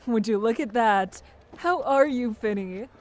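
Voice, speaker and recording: A woman's voice: fairly high pitch, unhurried, darkish tone, natural voice. A woman playing an affectionate, teasing adult family friend in an audio drama, chatty and breezy.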